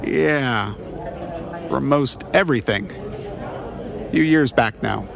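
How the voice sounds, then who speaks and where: A man playing an awkward, self-deprecating ex-cop private eye in a noir comedy audio drama, wry and halting as he banters. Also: mid-range voice, gravelly, a crowd in the background. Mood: awkward, polite.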